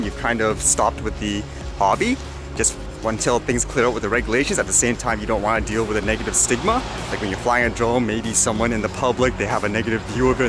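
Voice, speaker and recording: A man with a medium-pitched voice chats in an informal vlog style over clearly audible music. He reads items aloud and muses on them, often posing questions to himself and his viewers. He sounds like he is recording outdoors on a small camera. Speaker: vlogger. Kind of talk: casual vlog chat, reading news items aloud with comments. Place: outdoors in a windy urban area. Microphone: action camera. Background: clear background music.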